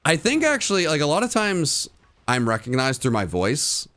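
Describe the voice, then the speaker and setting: A man's voice: on the high side, huge pitch swings, moderate pace, a bit bright. A young man streaming, chatting casually with viewers, rambling through fillers and restarts with a distinct voice people call good for radio. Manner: rambling, lots of fillers and restarts, laughs often. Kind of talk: casual livestream chat with viewers.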